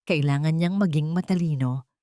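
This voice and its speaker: A woman narrating a scripted dramatic story in an emotive, deliberate way. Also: low voice, mellow, no echo, studio quality.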